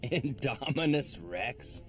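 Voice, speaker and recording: An actor playing a wary, laconic animal trainer in film dialogue, speaking tersely and dryly. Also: male voice, mid-range voice, big pitch swings, takes it slowly, over music. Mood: dry, sceptical. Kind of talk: film dialogue in a game cutscene.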